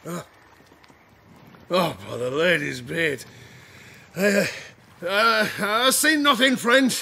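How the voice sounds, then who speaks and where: A gravelly, high-pitched old drunken sailor. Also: male voice, takes it slowly, pushed and bright, exaggerated voice. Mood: uneasy. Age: older. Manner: rambling, archaic seafaring dialect. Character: rambling drunken old sailor, broke and regretful. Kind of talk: audio drama acting.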